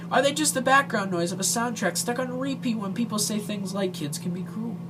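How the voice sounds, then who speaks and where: A woman reciting a poem aloud for an online video, with a halting delivery that stumbles over words. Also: a little high. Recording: clearly noisy home recording with hum.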